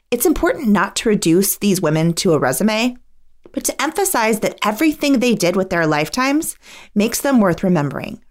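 A woman reading scripted biographical narration as the host. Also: mid-range voice.

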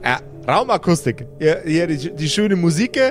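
A man's voice: fairly high pitch, expressive, normal speed, faint music behind, lightly acted. A man voicing a boastful, chummy undead necromancer in a fantasy tabletop audio game, slangy, stammering and repeating himself. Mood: excited bragging. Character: boastful, chummy undead necromancer boss bragging about gadgets.

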